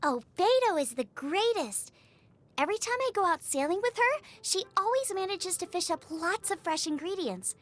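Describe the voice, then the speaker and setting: A woman voicing a bubbly, eager, food-obsessed young woman chef in an expressive video game style, chatty and full of exclamations. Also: very high voice, moderate pace, full character voice. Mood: chatty, admiring. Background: music.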